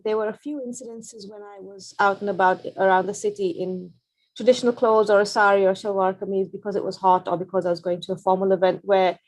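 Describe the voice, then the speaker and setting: A woman from Pakistan speaks as a journalist in a prepared webinar talk. Her delivery is earnest, measured and reflective, at a medium pitch. She comes through a video call mic. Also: a little mellow, poor audio.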